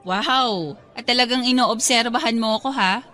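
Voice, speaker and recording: An actress playing a serious but fun young office worker in a radio drama, bantering in a conversational, mock-offended way. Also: female voice, fairly high pitch, normal speed, bright, forceful tone. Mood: teasing, mock-offended. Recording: music and crowd chatter behind.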